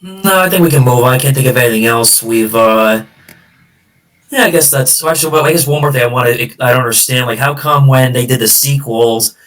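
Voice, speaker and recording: A man's voice: mid-range voice, brisk, fairly bright tone. Hesitant male podcaster, distant from the mic. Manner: hesitant, repeating himself. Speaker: podcast host. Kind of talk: casual film chat.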